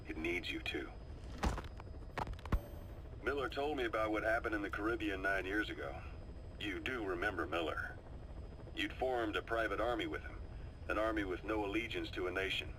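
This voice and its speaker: A man playing a seasoned, calm and knowing military adviser in a video game, briefing his commander in a measured, confiding storytelling delivery. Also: low voice, a little hiss or hum, rough recording. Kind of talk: video game voice acting, dramatic briefing monologue. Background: music.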